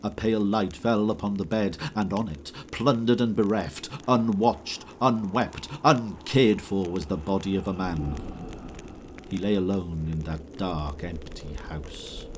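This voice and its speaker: A man's voice: deep voice, a little slow, a little hiss or hum. A man playing a solemn storyteller, giving scripted dramatic narration for an audio drama. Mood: solemn, grave.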